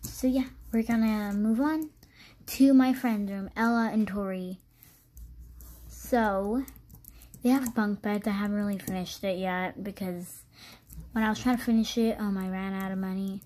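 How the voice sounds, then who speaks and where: A young woman with a mellow, slightly hoarse voice talks through a game house tour, rambling loosely with lots of "like" and "um." Her pitch sits in an ordinary middle range, and the delivery stays casual and unpolished. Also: fairly even intonation, a little slow. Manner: rambling, many likes and ums. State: voice low and hoarse from screaming. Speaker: video creator.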